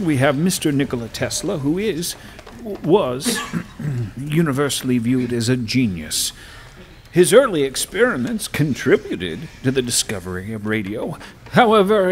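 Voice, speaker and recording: A man acting as a stern Chief Justice in a courtroom audio drama, formal, measured and authoritative. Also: fairly high pitch, slight background noise. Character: stern, stately male Chief Justice presiding over court.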